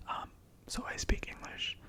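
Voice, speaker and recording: A man whose first language is Spanish, whispering numbered facts ASMR-style with casual chatty asides. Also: unhurried. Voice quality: soft unvoiced whisper. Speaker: ASMR host. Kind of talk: ASMR whispered fact reading.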